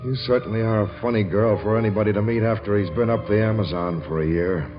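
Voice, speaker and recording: Flustered, bashful young American bachelor played by an actor. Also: male voice, fairly low pitch, lightly acted. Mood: smitten, nervous. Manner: stammering, flustered, halting. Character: naive, bashful, lovestruck rich young bachelor. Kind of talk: 1940s American radio comedy acting. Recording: muffled 1940s radio broadcast, music behind.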